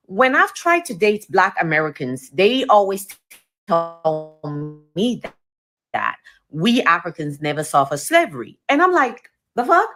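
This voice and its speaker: A woman's voice: lowish voice, fairly bright tone. A woman from Sierra Leone with a thick Sierra Leonean accent, frankly and exasperatedly telling about her experiences. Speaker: video clip speaker. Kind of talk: personal testimony.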